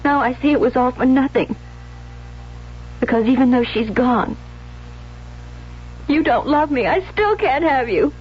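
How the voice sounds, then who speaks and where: A woman's voice: high voice, animated, measured pace, long pauses, husky, heavily acted. An actress playing a jealous, unstable young woman in a 1970s radio drama, intense and pleading, on a dull vintage recording. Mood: desolate, resolved. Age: young adult. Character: jealous, obsessive, unstable young bride-to-be turned killer.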